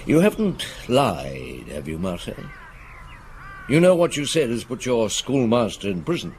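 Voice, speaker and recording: A man's voice: medium pitch, very animated, unhurried, a little mellow, slight background noise. A man playing a genial, shrewd middle-aged detective in a radio drama, questioning in an avuncular, probing way. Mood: gentle, probing.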